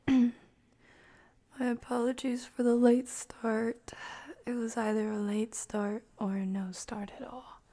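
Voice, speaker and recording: A woman streamer chatting casually to viewers in a soft, breathy, often half-whispered voice with a gentle, unhurried manner. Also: a little high, flat delivery. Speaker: game streamer and host. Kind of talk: casual livestream chat to viewers.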